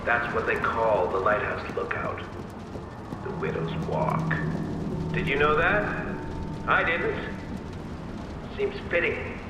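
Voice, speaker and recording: Echoing, fatalistic criminal mastermind. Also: male voice, medium pitch, sing-song. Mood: bitter musing. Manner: musing, theatrical, unhurried. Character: reflective, fatalistic male criminal mastermind, bitter and theatrical. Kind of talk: dramatic game monologue. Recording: very echoey, noisy rainy ambience.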